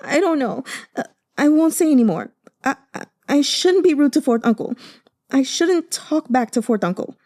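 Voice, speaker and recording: A woman's voice: high voice, lively intonation, moderate pace, darkish tone, voice pushed up. Woman voicing a sobbing pampered young man. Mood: broken muttering. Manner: stammering, breathless pleading.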